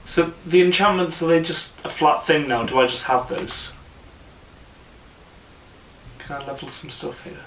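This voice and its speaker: A man's voice: a little high, unhurried, long pauses. A man playing a game and chatting, quipping dryly as he goes. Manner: lively, quips dryly. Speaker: co-host gaming. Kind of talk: casual chat while playing. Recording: distant mic in echoey room with background noise.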